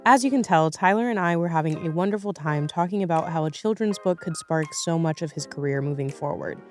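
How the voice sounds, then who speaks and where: A woman's voice: low-pitched, brisk, faint music behind. A woman hosting a podcast in a casual, conversational way, chatty and thinking aloud as she goes. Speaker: podcast host.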